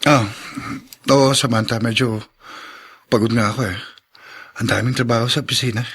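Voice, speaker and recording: A man's voice: medium pitch, fairly even intonation, moderate pace, fairly bright tone, lightly acted. A man playing a bitter father set on revenge, in a radio drama. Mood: tired.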